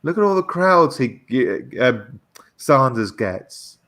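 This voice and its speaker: A man's voice: medium pitch, sing-song, slow pace, a bit bright. A British man, a guest in a casual political chat, speaking hesitantly and often repeating words as he searches for the next one.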